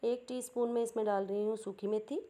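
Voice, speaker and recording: A woman's voice: fairly high pitch, fairly even intonation, a little fast, dark tone. A woman with a Pakistani Urdu accent, hosting a cooking channel and patiently explaining each step of a home recipe.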